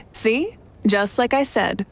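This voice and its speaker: A woman playing a calm, confident, benevolent warrior queen in an anime-style video game, composed and encouraging. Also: a little high, vocal fry, a little hiss or hum, exaggerated voice. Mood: cheerful, reassuring.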